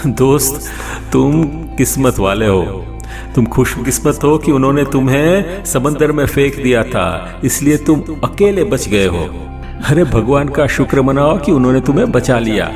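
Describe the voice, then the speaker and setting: A male rescuer from a ship, played by a man in an audio drama. He speaks at a middle pitch in a reassuring, explanatory way, with music clearly behind him. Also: animated, measured pace. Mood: sympathetic, amazed. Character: reassuring male sailor who rescues a castaway. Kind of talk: Hindi audio story acting.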